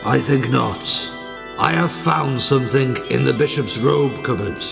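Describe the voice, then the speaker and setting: An actor playing an earnest, alarmed father of a grown daughter in a radio play, melodramatic and hesitant, with a gravelly voice. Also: medium pitch, unhurried. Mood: grave, urgent. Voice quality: gravelly, creaky. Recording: reverberant church-like hall, music behind.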